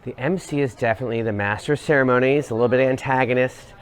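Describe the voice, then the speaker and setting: A man's voice: medium pitch, fast. An American man, a musical theatre actor, talking in a cast interview.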